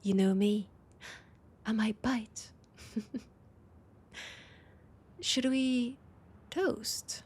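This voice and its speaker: A woman acts out a poised vampire lady with a French accent in an intimate audio drama. She speaks slowly and breathily, often sinking toward a whisper, teasing an old rival while staying guarded. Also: darkish tone, exaggerated voice. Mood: playful, wry. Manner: slow, low-key teasing with breathy, near-whispered phrases. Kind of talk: intimate audio roleplay acting.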